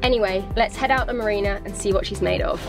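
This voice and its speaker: A woman's voice: medium pitch, fast talker, music in the background. Bubbly young woman vlogging aboard. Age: young adult. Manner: bubbly, laughs between phrases. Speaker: vlog host. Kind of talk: casual vlog narration and chat. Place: cabin of a sailing catamaran.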